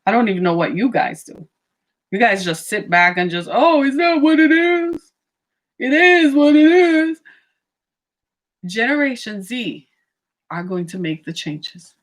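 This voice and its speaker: A middle-aged woman giving an impassioned monologue, full of rhetorical questions and sudden swings in pitch and pace. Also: a little slow, pauses often. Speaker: speaker on racism.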